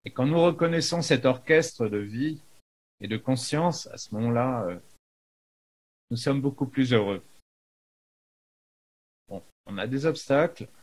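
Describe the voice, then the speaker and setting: A man giving an unscripted spiritual talk as a teacher, in a halting manner with frequent pauses in the middle of phrases. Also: medium pitch. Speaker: spiritual teacher, video maker.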